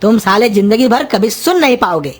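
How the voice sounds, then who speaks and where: A woman voicing a bossy, quick-tempered old uncle in a comedy cartoon, scolding and threatening. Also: fairly high pitch, expressive, breathy edge, soft background music. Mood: angry, threatening. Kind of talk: Hindi cartoon comedy voice acting.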